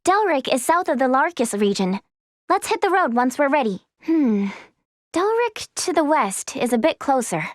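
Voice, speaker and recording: Very high, eager young game heroine. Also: female voice, big pitch swings, moderate pace, no room sound, clean studio sound. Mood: businesslike, friendly. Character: earnest, eager, kindly young female healer's helper. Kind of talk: scripted video game voice lines.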